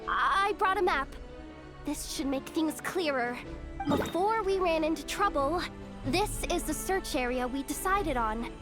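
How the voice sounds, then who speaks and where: Very high-pitched, flustered young messenger girl. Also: heavily acted. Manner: stammering, nervous. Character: flustered young female scout messenger, eager but nervous. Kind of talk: video game voice acting. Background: game music.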